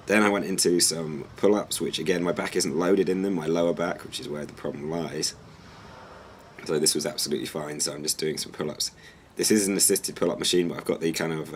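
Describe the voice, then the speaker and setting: A hoarse young man, losing his voice, talks through his workout in a relaxed, rambling vlog style. His voice sits extremely low, and a faint hum of background noise runs under it. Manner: explanatory, relaxed, slightly rambling. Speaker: fitness vlogger. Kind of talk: casual vlog narration.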